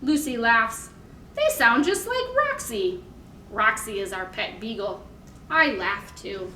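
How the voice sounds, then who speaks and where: A woman's voice: on the high side, big pitch swings, takes it slowly, pushed and bright, distant mic. An American woman leading a storytime for toddlers as a children's librarian, talking simply to small children with questions and encouragement. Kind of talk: picture-book reading and sing-along for toddlers. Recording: echoey room with faint background noise.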